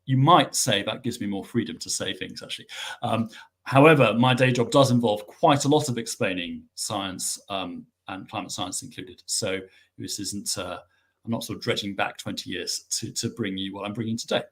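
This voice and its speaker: A middle-aged man, a guest explaining things in a measured way with frequent ums. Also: fairly low pitch, a bit bright. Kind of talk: explanatory interview answers.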